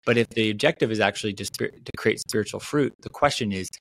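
A man in his thirties talks on a video call, slightly low-pitched and animated, tumbling through restarts and fillers as he explains his ideas. The sound is that of a plain home call mic. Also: quick pace, gravelly, a little hiss or hum. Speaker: guest author. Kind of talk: casual podcast conversation.

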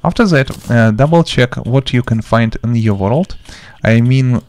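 A man with a non-native English accent giving a gameplay tutorial in halting, choppy phrases with broken grammar. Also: medium pitch, darkish tone. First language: not English. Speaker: game tutorial host.

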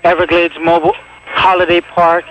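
High-pitched male dispatcher on very noisy radio. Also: monotone, normal speed. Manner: clipped, procedural. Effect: two-way radio. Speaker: emergency dispatcher. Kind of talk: terse radio dispatch, reading back calls and times.

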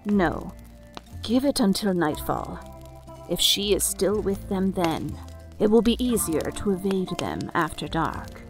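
A woman's voice: mid-range voice, lively intonation, a little slow, faint music behind. A woman playing a composed island leader in a fantasy audio drama, commanding and authoritative.

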